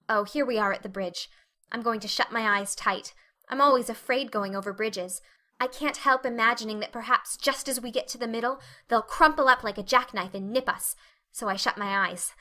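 A woman voicing a dreamy, chatty, excitable eleven-year-old girl in a dramatic audiobook, effusive and breathless in manner. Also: medium pitch, natural voice. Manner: effusive, breathless, wide-eyed.

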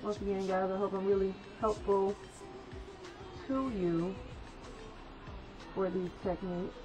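A woman's voice: mid-range voice, little pitch movement, unhurried. A young woman with a slight cold chatting casually to viewers through a hair tutorial in a small room. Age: young adult. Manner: chatty, addresses viewers as guys. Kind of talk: casual how-to talk. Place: small indoor room by a folding screen. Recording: dull lo-fi sound with music behind.

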